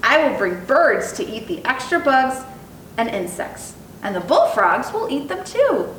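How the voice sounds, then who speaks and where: A woman's voice: very animated, measured pace, a bit bright, exaggerated voice. A woman reading the part of an eager, imaginative young boy in a children's storybook, with earnest, careful phrasing. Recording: echoey room, distant mic, faint rumbling noise.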